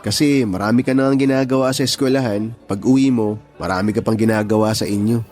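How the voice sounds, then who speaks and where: An actor playing a devoted, sincere young suitor in a Tagalog radio drama, earnest and reassuring. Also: male voice, on the low side, fairly even intonation, quick pace, a little mellow, faint music behind, lightly acted. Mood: caring.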